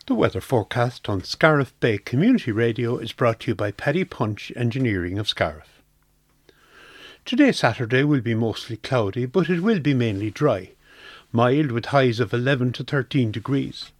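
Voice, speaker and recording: An Irish man presents a weather forecast for community radio. His voice has an average male pitch. He reads from a script, and the recording is dry with little room sound. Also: sing-song, moderate pace, no echo.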